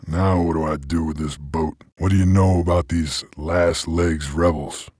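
An actor playing a gruff, laconic creature bounty hunter in a video game, with a gravelly voice and terse, folksy cowboy drawl. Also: male voice, rumbling low, steady tone, unhurried, darkish tone, no room sound, clean studio sound, heavily acted. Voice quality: gravelly, husky. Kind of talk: video game character voice lines.

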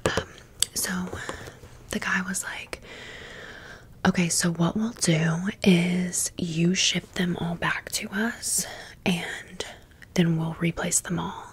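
A woman's voice: on the low side, fairly even intonation, slow, husky. A woman hosting an ASMR storytime, rambling casually and conversationally with wry asides. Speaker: ASMR video host.

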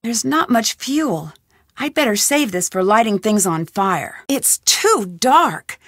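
A woman's voice: mid-range voice, sing-song, takes it slowly, fairly bright tone, no room sound, full character voice. An actress voicing a young female amateur detective in a studio game, thinking aloud in an inquisitive, lightly wry way. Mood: curious. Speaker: video game protagonist. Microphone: close studio mic.

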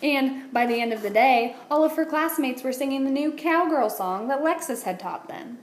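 A woman reads a picture book aloud as a teacher would to children. Her voice sits a bit high and her phrasing is expressive and deliberate. The room echoes, with faint noise behind her. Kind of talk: read-aloud storytelling for children. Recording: roomy echo, light background noise.

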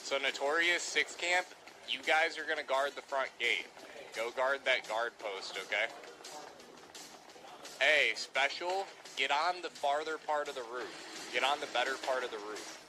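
A man's voice: medium pitch, expressive, a little slow, noisy background. A man streaming a video game, chatting casually in character and giving repeated, bossy squad-leader commands. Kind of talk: casual in-character gameplay chat.

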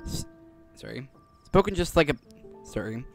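Guy chatting over his game, medium pitch, thinking out loud, kinda halting, music playing behind him. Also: expressive, creaky. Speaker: gaming video host. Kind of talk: casual gameplay commentary, reading dialogue aloud.

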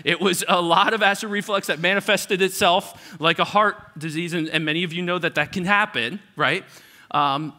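A middle-aged pastor preaching a sermon, conversational and building to repeated, emphatic lines. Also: male voice, high-pitched, moderate pace, bright, forceful tone. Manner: preaching with rising intensity and repetition, conversational asides.

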